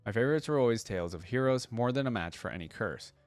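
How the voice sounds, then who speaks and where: A man's voice: medium pitch, expressive, fast talker, darkish tone, no room sound, soft background music, close to an everyday voice. Man reading as eager young boy adventurer. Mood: wistful hope. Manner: eager, dramatic first-person storytelling. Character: earnest, excitable young boy adventurer telling his tale.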